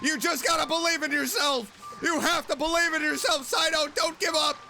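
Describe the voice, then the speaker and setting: A man doing casual gaming commentary, chatting excitedly to himself and his game character. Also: very high voice, normal speed, gravelly. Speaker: streamer, video game let's player. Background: game music.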